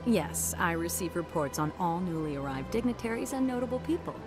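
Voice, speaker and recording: An actress playing a polite, formal receptionist for the embassies in a video game. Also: female voice, on the low side, lively intonation, brisk, natural voice. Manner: courteous, formal. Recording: game audio with background music.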